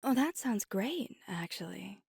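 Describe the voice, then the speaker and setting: A woman acts out a flirty, affectionate female vampire in an intimate ASMR roleplay. Her voice is soft and breathy with a creaky edge, often slipping into a whisper. She takes her time, teasing playfully, and sounds very dry and close. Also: mid-range voice, animated, a little slow, no room sound, exaggerated voice. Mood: warm, flirty. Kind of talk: ASMR roleplay, intimate one-sided dialogue.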